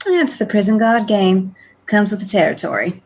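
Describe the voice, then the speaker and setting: A woman's voice: medium pitch, expressive, normal speed, a little mellow. An actress playing a wry, savvy female prison guard, bantering dryly in a radio play. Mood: matter-of-fact, friendly. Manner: dry, bantering.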